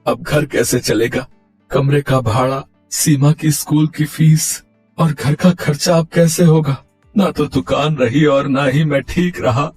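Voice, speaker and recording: Guy doing a poor humble shop owner, worried, highish voice, music behind, storybook style. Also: fairly even intonation, a little slow, a little mellow, breathy edge. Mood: worried despair. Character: humble poor pizza seller, worried family man.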